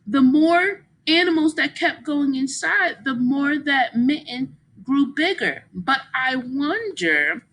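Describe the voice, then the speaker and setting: A woman's voice: high voice, sharp tone, a little hiss or hum, poor audio. A woman reading a picture book aloud like a teacher, with exaggerated emphasis, putting questions to young listeners.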